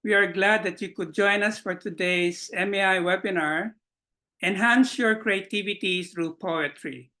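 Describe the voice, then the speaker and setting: Bright-voiced man hosting a webinar. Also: high voice, measured pace. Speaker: webinar host. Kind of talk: welcoming introduction to an online webinar. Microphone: video call.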